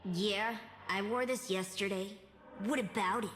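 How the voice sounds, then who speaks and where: A woman voicing a gloomy, apathetic young female game mage who sulks like a teenager in a deadpan, sighing drawl. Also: medium pitch, unhurried, big-room sound, faint music behind, close to an everyday voice. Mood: gloomy, sulking. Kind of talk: video game character voice lines.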